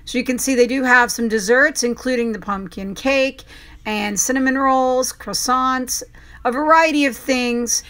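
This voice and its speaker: A woman's voice: high-pitched, measured pace, a bit bright. A woman hosting a local show, narrating with chatty enthusiasm and easy fillers. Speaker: host. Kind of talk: upbeat local promo narration.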